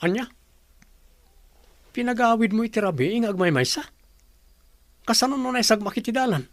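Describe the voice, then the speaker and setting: A man's voice: high-pitched, lively intonation, normal speed, no room sound. An actor with an Ilocano Filipino accent playing a brash young man scolding his buddy in a radio drama. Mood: scolding, incredulous.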